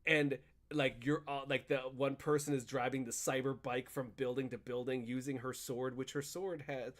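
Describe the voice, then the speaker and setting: A man co-hosting a casual chat, recapping a video game in a rambling, animated storytelling way. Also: medium pitch, moderate pace. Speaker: podcast co-host.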